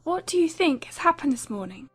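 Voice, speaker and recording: A woman's voice: high voice, very animated, brisk, fairly bright tone, soft background music. A woman playing an eager, gossipy, playful teenage girl in a dramatized audiobook. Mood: excited, gleeful.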